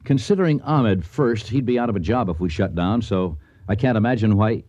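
Mellow, measured American archaeologist. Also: male voice, fairly low pitch, expressive, no room sound, muffled recording. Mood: measured, doubtful. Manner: measured, thoughtful. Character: measured, reasonable American archaeologist, male dig leader. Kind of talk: radio drama acting.

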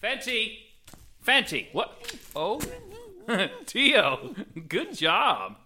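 Sci-fi audio drama hero: exasperated young hothead, sarcastic, forceful, swinging delivery. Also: male voice, high-pitched, huge pitch swings, drawn-out words, slight background noise, exaggerated voice. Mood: triumphant. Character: exasperated, hot-headed young male space adventurer, sarcastic.

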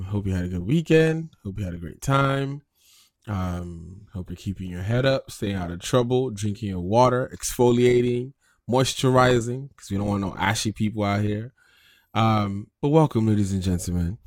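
Young man, podcast host, low-pitched, dry room, playful banter. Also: a little mellow, dry recording. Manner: hype opener, then loose joking chat with laughs. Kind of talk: podcast rant show, casual banter.

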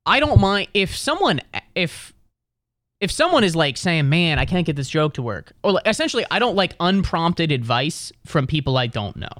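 Animated, profane young comedian host. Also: male voice, normal speed, dry recording, clean studio sound. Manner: animated, profane, jumps up in pitch when ranting. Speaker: stand-up comedian, podcast host. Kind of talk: casual podcast chat.

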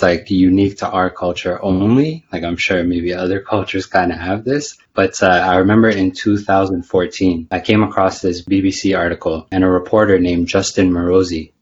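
A man's voice: low voice, highs cut off, a little hiss or hum. A man of Somali descent on a casual podcast, amused and laughing as he lists examples.

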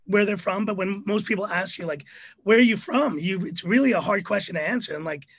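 A man's voice: high-pitched, a little fast, a bit bright, breathy edge, dull audio. A man in his thirties with an American accent, chatting as a podcast guest in a rambling, jokey way. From Hong Kong. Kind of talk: casual interview chat.

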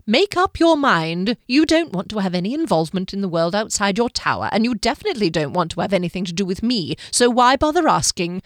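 A woman's voice: medium pitch, very animated, fairly quick, hardly any pauses, no echo, studio quality, natural voice. A woman voicing a pale male lieutenant who is cheerful and menacing, speaking in a mocking, theatrical, sing-song way. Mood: scornful. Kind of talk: audiobook character voice.

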